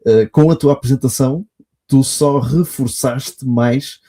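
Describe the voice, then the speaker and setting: A man's voice: mid-range voice, fairly even intonation, unhurried, dark tone. A man with a European Portuguese accent hosting a podcast, speaking eagerly and effusively, often hesitating mid-phrase. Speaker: podcast host. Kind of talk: podcast hosting, casual chat.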